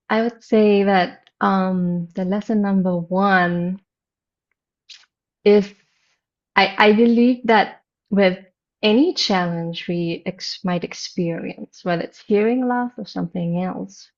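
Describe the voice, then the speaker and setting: A woman's voice: mid-range voice, muffled recording. A woman from Germany with a light German accent blended with a deaf accent, a meditation teacher talking reflectively as an interview guest. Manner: reflective, gentle, with soft laughs. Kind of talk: casual interview.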